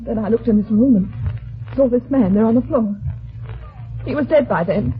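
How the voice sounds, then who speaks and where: An actress playing a frightened, earnest widow in an old-time radio drama, speaking anxiously and hesitantly. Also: female voice, fairly high pitch, normal speed, lightly acted. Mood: shaken, explaining. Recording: thin, narrow-band old radio recording.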